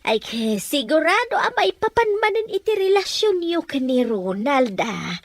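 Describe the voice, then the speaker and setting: A woman's voice: high-pitched, sing-song, unhurried, dry recording, heavily acted. A Filipino woman playing a chatty, deferential older household helper in an Ilocano radio drama, folksy and placating. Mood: gossipy.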